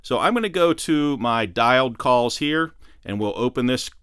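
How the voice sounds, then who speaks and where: Man with a clear, smooth demonstrator voice. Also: mid-range voice, animated, moderate pace, a bit bright, no echo, studio quality. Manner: methodical step-by-step explaining. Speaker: product demonstrator. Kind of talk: instructional how-to narration.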